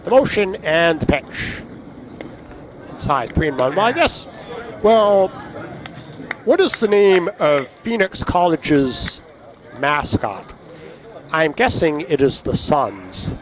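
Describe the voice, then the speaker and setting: A man calling a baseball game play-by-play, his voice raspy and hoarse from allergies, chatting between pitches. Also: big pitch swings, slow, pauses often. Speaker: play-by-play announcer.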